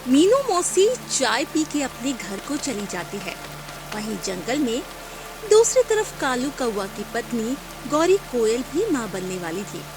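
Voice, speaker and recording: A woman narrates a children's cartoon story in a clear, storytelling cadence. Her voice sits fairly high and is animated, and faint music plays underneath. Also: measured pace.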